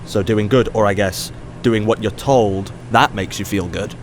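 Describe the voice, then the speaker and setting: A man's voice: lowish voice, unhurried, a little hiss or hum, close to an everyday voice. A man playing a wry, weary ethics professor in an audio drama, dry, sarcastic and mildly exasperated in a natural style. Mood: curious.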